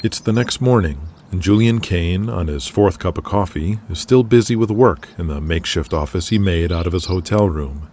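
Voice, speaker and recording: A man narrating an audio drama in a steady, matter-of-fact way. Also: low-pitched, normal speed, hardly any pauses, a little hiss or hum. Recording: music bed under the voice.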